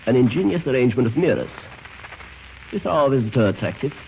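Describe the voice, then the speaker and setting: A man's voice: medium pitch, expressive, lightly acted. Man playing a suave, menacing spymaster, swooping delivery. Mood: cool, calculating. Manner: courtly, deliberate, formal phrasing. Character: suave, menacing male foreign spy ring leader. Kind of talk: 1940s radio drama acting. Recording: dull, noisy old radio recording.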